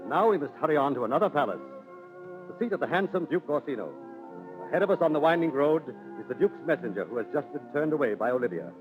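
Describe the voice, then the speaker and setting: A man's voice: fairly high pitch, expressive, quick pace. A man narrating in theatrical, declamatory 1930s radio storytelling style. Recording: tinny 1930s radio, music behind.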